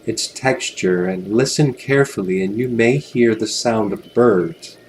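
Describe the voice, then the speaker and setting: A man narrating a guided hypnosis relaxation in a soothing, gentle, unhurried way with long pauses. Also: on the low side, slight background noise.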